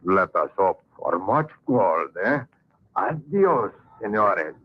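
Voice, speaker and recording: A menacing Spanish Don from a radio western, low-voiced and unhurried. He speaks with a Spanish accent and oily, mocking courtesy, on a muffled vintage recording. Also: very animated, husky, heavily acted. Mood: gloating. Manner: slow, mock-polite, chuckling menace. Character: gloating Spanish villain, a menacing Don who relishes torture. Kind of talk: old-time radio western acting.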